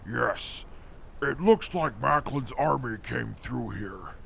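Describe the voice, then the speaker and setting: A man playing a gruff, cold, sardonic and short-tempered wasteland traveller in an audio drama, with a gravelly, husky voice. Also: medium pitch, lively intonation, slow pace, pushed and bright, a little hiss or hum, heavily acted. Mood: dry, sardonic. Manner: curt, dry, callous. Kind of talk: post-apocalyptic audio drama acting.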